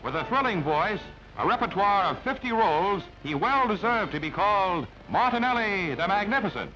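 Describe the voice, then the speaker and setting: High-voiced male newsreel announcer, lo-fi soundtrack. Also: very animated, moderate pace. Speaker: newsreel narrator. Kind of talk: scripted newsreel narration. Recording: band-limited old newsreel, music underneath. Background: background music.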